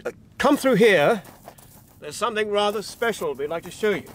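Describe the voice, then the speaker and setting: A genial, boastful male rabbit showing guests around in a radio play. The pitch is raised and highly varied. Faint noise runs behind it. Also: lively intonation, normal speed. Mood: genial, proud. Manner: breezy, boastful. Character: genial, proud male rabbit guide, breezy. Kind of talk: radio drama acting.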